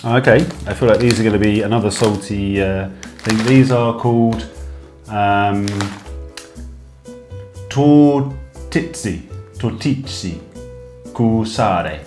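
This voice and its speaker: A man's voice: lowish voice, slow pace, pauses often. A man co-hosting a casual snack-tasting chat at home, hesitant and chatty with lots of ums. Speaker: co-host. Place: home room. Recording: echoey room with background music.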